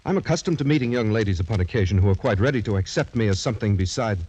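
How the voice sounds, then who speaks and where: An actor playing a proud, honourable young gentleman with courtly, formal speech in a 1940s radio drama. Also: on the low side, animated, very fast. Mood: wounded pride. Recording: noisy vintage 1940s radio recording, clicks.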